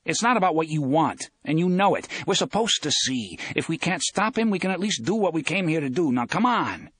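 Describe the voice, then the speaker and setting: A man voicing an anxious, insistent male character in an audiobook, forceful and pressing. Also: fairly high pitch, expressive, brisk, breathy edge, no room sound, voice pushed up. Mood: firm urging.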